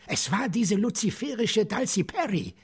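Frantic reverend, raspy, high-pitched, stammering, radio play. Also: male voice, big pitch swings, normal speed, full character voice. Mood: insistent. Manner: fervent, stammering, preacher-like pronouncements. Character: wild-eyed man, a reverend claiming visions. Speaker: suspect in a police interrogation. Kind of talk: radio drama acting.

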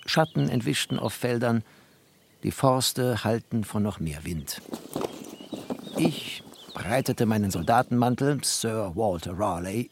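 An actor playing a wry, bookish man who narrates a literary radio play in a mannered, expressive way. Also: on the low side, vocal fry, noisy background. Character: bookish, wry first-person narrator, a former interpreter.

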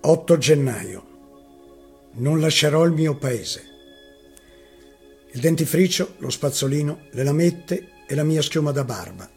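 A man's voice: fairly high pitch, expressive, a little slow, creaky, pauses often, darkish tone, husky. A man giving a dramatic reading of a first-person eyewitness account. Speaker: reader. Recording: music playing behind the voice.

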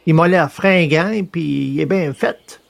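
Man putting on a high-voiced, hesitant Quebec farmer. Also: slow pace, creaky, full character voice. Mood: earnest. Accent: Quebec French. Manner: hesitant, folksy, rustic phrasing. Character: humble Canadian farmer Baptiste, earnest and hesitant. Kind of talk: comic folk tale dramatization.

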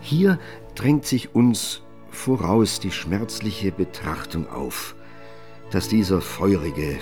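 A man narrating a literary radio drama in a measured, formal manner. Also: low voice, darkish tone.

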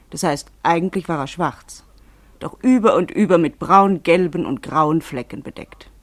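Radio play narrator, literary prose, measured, explanatory, mock-formal, dry studio acoustic. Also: medium pitch, no room sound.

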